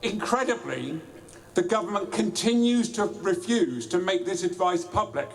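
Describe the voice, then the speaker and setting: A man giving a deliberate protest speech outdoors at a street rally, with small restarts. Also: high voice, lively intonation, gravelly. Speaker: rally speaker.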